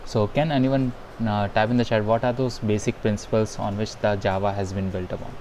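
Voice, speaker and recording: A fairly low-voiced man teaching a programming class live over a video call, halting as he speaks and stopping often to restart his sentences. Faint noise sits under the call audio. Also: little pitch movement, darkish tone. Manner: halting and hesitant, many fillers and restarts. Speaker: instructor. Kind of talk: live online programming lesson. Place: home in a rainy town.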